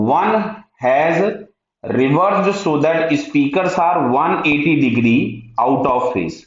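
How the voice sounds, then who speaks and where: A man with an Indian accent teaching physics step by step, asking short check questions and answering them himself. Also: a little high. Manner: mixes Hindi with English technical terms. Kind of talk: step-by-step lesson, working through a problem aloud. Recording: very echoey room, dull muffled sound.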